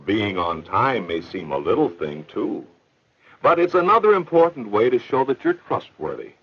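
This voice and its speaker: A man's voice: fairly low pitch, sing-song, normal speed, husky. A man narrating an educational film in an earnest, explanatory storytelling manner. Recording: dull old film soundtrack with faint hiss.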